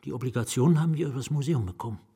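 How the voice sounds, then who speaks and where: An actor in a German radio drama playing a haunted, nameless writer who confides in a halting, dramatic way, sometimes hushed to a whisper. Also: male voice, medium pitch, husky. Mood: wistful, confiding. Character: haunted nameless male writer confiding his lost love.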